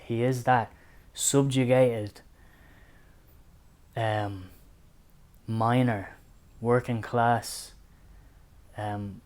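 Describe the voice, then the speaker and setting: An Irish man talking through a book series for his channel, in a voice of middling pitch. He speaks in an earnest, thoughtful way and weaves his own life into what he says. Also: darkish tone. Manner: earnest, reflective, personal. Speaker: book reviewer. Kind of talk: casual book review to camera.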